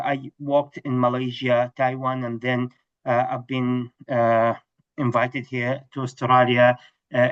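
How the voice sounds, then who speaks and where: A man's voice: medium pitch, highs cut off. A middle-aged man from Iraq, giving a webinar lecture on research, hesitant with many fillers and restarts. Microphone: video call.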